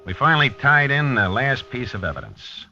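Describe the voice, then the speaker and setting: An American actor playing a dogged, weary police lieutenant in a 1950s radio drama, with a clipped, hard-boiled delivery. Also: male voice, mid-range voice, sing-song, normal speed, bright, forceful tone, slight background noise, full character voice. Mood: matter-of-fact narrating. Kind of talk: 1950s radio drama acting and first-person narration. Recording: dull vintage 1950s radio recording.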